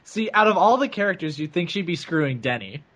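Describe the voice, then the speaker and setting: A man's voice: high-pitched, expressive, fairly quick, a bit bright. A man casually commenting on a movie as he watches it with friends. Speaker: co-host of a film-watching show.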